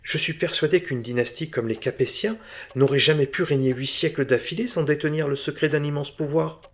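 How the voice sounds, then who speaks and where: A man playing a nervous, excitable antique dealer who pleads and blurts in a husky voice. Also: a little high, a little fast, voice pushed up, lightly acted. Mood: eager.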